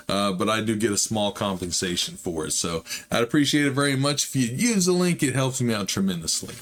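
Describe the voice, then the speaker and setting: A man with a middling voice, a little hoarse, who talks viewers through a hobby build. He rambles easily and drops in plenty of "uh"s. The delivery is relaxed and unscripted. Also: mid-range voice, slight background noise. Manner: easygoing, rambling, frequent "uh" fillers. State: slightly hoarse, recovering from a chest illness. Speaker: hobby model-building channel host. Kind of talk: casual build vlog narration.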